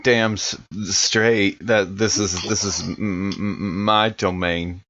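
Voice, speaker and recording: A man's voice: low voice, steady tone, slow pace, fairly bright tone, a little hiss or hum. A man chatting casually as a tabletop game player, stammering over the starts of words, in slightly dull sound. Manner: stammering, repeats word beginnings.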